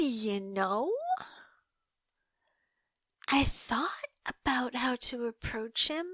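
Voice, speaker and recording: Yandere girlfriend type, young adult played by a woman, audio roleplay; very slow, animated delivery, coy, obsessive and fragile. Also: high voice, no room sound, exaggerated voice. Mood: defensive, upset, then shy, yearning. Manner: coy, halting, breathy hushed asides, emotional swells. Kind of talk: audio roleplay monologue, intimate dramatic acting.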